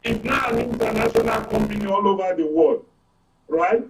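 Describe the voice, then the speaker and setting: A man's voice: high voice, moderate pace, faint music behind. A Nigerian-accented man explaining emphatically as a panel guest, building his points step by step with check questions. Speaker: panel guest, expert commentator. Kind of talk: explanatory live discussion with everyday analogies. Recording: roomy, distant mic, clear noise and clicks.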